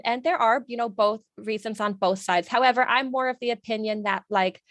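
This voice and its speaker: A woman interviewer with a fairly high voice asks long, thoughtful questions in a chatty, friendly way, pausing on fillers. The sound is close and dry, with no room echo. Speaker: interviewer host. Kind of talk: casual interview.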